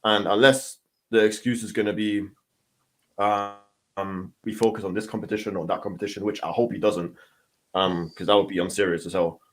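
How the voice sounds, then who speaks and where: A man's voice: on the low side, fairly even intonation, normal speed. A man co-hosting a podcast, talking football in a rambling, hesitant way with many ums. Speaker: podcast co-host. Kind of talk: casual football chat.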